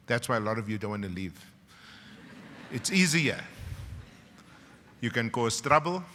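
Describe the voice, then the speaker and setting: A middle-aged man with a South African English accent delivers a ceremonial graduation speech, telling personal stories with dry humor and sudden drops into hushed emotion. His voice is bright and forceful. It rings out through a large hall with a long reverb. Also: mid-range voice. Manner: dramatic storyteller, swings from loud punchlines to hushed emotion, wry humor. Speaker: university professor speaking at a graduation.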